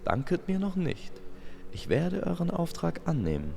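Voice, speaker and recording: Guy doing a youthful cupid angel, mellow but very animated, theatrical and playful, bit of background noise. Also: fairly high pitch, moderate pace, creaky, voice pushed up, exaggerated voice. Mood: confident, obliging. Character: youthful male winged love angel, confident and obliging.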